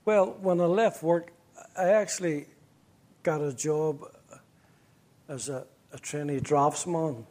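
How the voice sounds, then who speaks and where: Belfast pastor, middle-aged, wry and joking, fairly high voice for a man. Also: darkish tone. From Northern Ireland. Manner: wry, joking, halting restarts. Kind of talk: interview storytelling.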